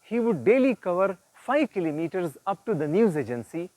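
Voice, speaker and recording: A male storyteller with a somewhat high voice shares an uplifting tale in an informal, earnest style. He explains as he goes, pausing often and repeating words as he finds the next phrase. The sound is very dry, with almost no room reflection. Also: big pitch swings, darkish tone, no echo. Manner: earnest, didactic, halting with frequent pauses and repeats. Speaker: storyteller and presenter. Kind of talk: informal retelling of an inspirational moral story.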